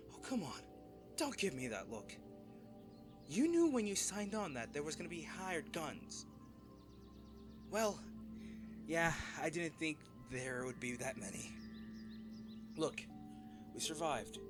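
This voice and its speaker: A male actor plays a cocky treasure hunter in an adventure audio drama. He talks in a wry, flippant way, unhurried but with very lively swings of pitch. Music plays clearly behind him. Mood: shaken, urgent, then reassuring, hopeful. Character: cocky, wisecracking male treasure hunter trekking through jungle ruins. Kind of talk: dramatic audio roleplay addressed to a companion.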